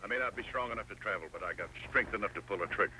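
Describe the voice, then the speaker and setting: An actor playing a menacing wounded outlaw leader in an old-time radio western. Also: male voice, on the low side, rapid-fire, sharp tone. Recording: noisy old radio broadcast.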